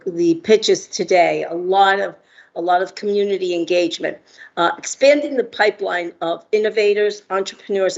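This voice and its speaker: A woman's voice: mid-range voice, dull audio. A middle-aged woman from Argentina giving a talk as an entrepreneur, friendly, with many ums and uhs. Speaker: guest speaker, entrepreneur. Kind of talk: prepared remarks with fillers.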